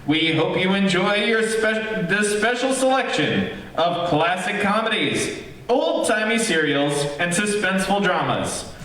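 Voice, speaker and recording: A man's voice: high voice, animated, measured pace, fairly bright tone. A man hosting a live stage show, giving a scripted introduction in a formal, theatrical announcer manner, recorded in a theater. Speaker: host. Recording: echoey theater, distant mic, live stream.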